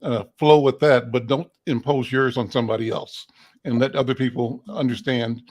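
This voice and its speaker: A middle-aged man hosting an interview, asking measured questions with frequent pauses and affirming listener sounds. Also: mid-range voice, fairly even intonation, darkish tone. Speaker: interview host.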